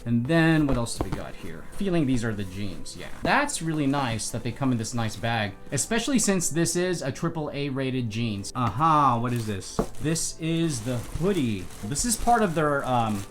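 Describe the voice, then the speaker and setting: A man doing a casual unboxing vlog, chatting and thinking aloud with quick asides. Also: mid-range voice. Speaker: motorcycle vlogger. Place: indoor bedroom.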